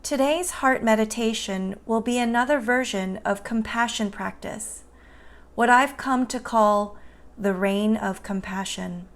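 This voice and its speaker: A woman guiding a meditation in a soothing, gentle and unhurried manner. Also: a little high. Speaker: meditation guide. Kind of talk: guided meditation narration.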